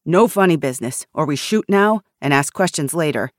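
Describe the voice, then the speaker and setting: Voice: mid-range voice, lively intonation, brisk, no echo, natural voice. A tense, commanding armed guard as an audiobook narrator plays him barks clipped orders. The voice is raised and close, in a very dry recording. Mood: barking, tense. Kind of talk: audiobook character dialogue.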